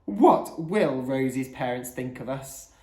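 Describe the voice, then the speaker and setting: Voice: medium pitch, lively intonation, takes it slowly, a little mellow. An exasperated mother, acted out by an audiobook reader, firm and disapproving. She scolds in a raised, emphatic voice. The room around her is noticeably echoey.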